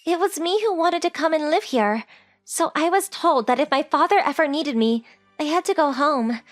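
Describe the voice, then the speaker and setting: A woman's voice: high voice, expressive, moderate pace, faint music behind. A woman voicing a sweet, polite rich teenage girl who is coy and forward, in a romantic comedy comic dub. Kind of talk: anime-style comic dub dialogue.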